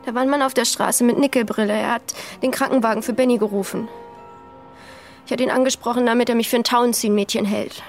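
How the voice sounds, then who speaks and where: Actress doing young burglar girl in a radio drama, highish voice, scared but defiant, trusts nobody. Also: fairly even intonation, fast, soft background music, lightly acted. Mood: wary, defiant. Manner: distrustful, insistent. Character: defiant frightened young female petty burglar, grieving. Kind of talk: radio play dialogue.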